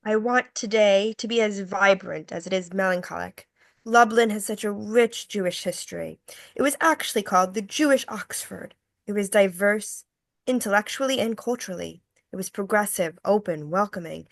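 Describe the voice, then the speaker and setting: A woman playing an earnest, scholarly tour guide. Her voice is medium in pitch with a dry, close sound, and she delivers a reverent monologue as at a script reading. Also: moderate pace, vocal fry, dry recording, lightly acted. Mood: earnest, reflective. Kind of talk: table read monologue.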